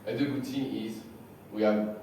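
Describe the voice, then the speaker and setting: A man from France with a French accent, slightly sick and losing his voice, giving a conference talk with halting, repeated words. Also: medium pitch, steady tone, warm tone. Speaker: conference speaker. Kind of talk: conference presentation. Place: large hall. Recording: echoey hall from far off, faint hiss.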